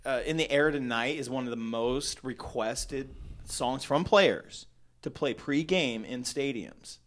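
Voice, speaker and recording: Middle-aged man, podcast host, excitable, chatty, average pitch. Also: measured pace. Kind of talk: casual chat.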